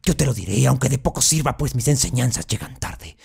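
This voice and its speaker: A man's voice: medium pitch, expressive, brisk, gravelly, a little mellow, slightly rough, heavily acted. A man with a Latin American Spanish accent playing a vengeful, anguished duke in a classical verse monologue, solemn and declamatory. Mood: scornful lecturing. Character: vengeful, anguished Jacobean duke, a wronged brother.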